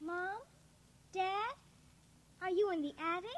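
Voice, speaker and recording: A woman's voice: extremely high pitch, slow. A woman playing a secretive, defiant little girl in a 1950s radio drama, childlike and matter-of-fact. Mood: sweetly menacing.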